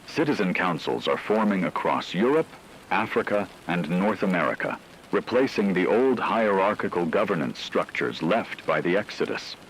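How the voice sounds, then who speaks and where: A man's voice: low-pitched, expressive, moderate pace, a little hiss or hum, rough recording. A man reading a scripted, dramatized radio news report as an anchor, formal and grave.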